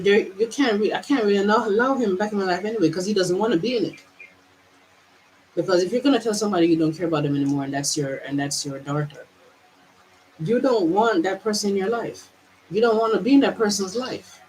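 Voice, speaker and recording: A woman vlogger from Jamaica speaks straight to camera in a loose, unscripted livestream. Her voice sits in an ordinary middle register. She talks haltingly, restarting her phrases and drawing words out as she thinks aloud. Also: medium pitch, darkish tone, a little hiss or hum, poor audio. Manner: halting, with frequent restarts and stretched, slowed phrases. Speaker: spiritual vlogger. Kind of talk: unscripted livestream musing to viewers. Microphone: camera mic.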